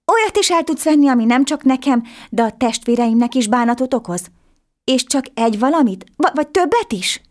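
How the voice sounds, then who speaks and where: A woman's voice: expressive, darkish tone. A woman voicing an earnest, eager poor little boy in a storybook tale, asking questions and pleading. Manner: high, pleading, quick questions.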